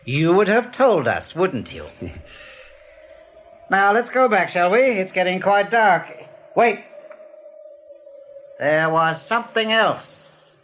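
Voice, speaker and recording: An actor playing a sly rabbit general in a radio play, questioning with measured, menacing courtesy. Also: male voice, sits high, very animated, a bit bright, a little hiss or hum, exaggerated voice. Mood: silkily suspicious.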